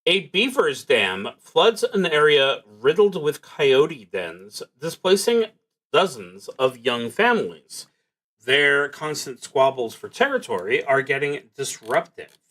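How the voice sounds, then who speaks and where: A man casually narrating his solo tabletop roleplaying game, haltingly thinking aloud and muttering to himself as he goes. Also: on the high side, sing-song, a bit bright, poor audio.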